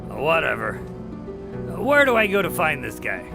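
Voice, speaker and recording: Gruff sarcastic skeptic, self-centred, creaky, animated intonation, audio drama, music behind. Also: male voice, fairly high pitch, normal speed, full character voice. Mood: baffled, suspicious. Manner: sarcastic, blunt, dismissive.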